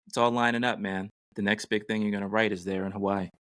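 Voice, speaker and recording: A man's voice: fairly low pitch, flat delivery, quick pace, fairly bright tone, no room sound. A man co-hosting a podcast from a home studio, talking in a casual, conversational way. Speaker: podcast co-host. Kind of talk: casual chat.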